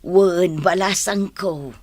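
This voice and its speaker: A woman's voice: on the low side, slow, a little mellow. An actress playing a caring, encouraging older village mother in a radio drama. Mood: practical, agreeable. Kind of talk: Ilocano radio drama acting.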